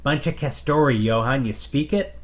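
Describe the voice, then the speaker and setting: A man's voice: mid-range voice, moderate pace, voice pushed up, close to an everyday voice. A man voicing a practical, matter-of-fact female mechanic who talks in work slang.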